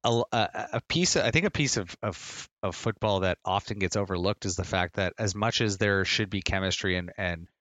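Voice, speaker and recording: A man's voice: low voice, moderate pace, hardly any pauses, fairly bright tone, no room sound. A man co-hosting a casual sports podcast, talking earnestly and rambling as he repeats phrases to build a point. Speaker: podcast co-host and soccer fan.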